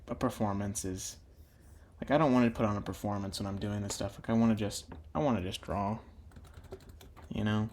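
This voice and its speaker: A man's voice: lowish voice, darkish tone, a little hiss or hum. A young man talking casually while drawing for his own video channel, meandering with many fillers and restarts. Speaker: drawing YouTuber.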